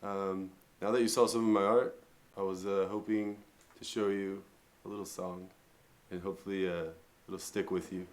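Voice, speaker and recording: A man who is an artist and musician talking casually about his own work in a halting, loose, informal way. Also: low-pitched, little pitch movement, slight background noise.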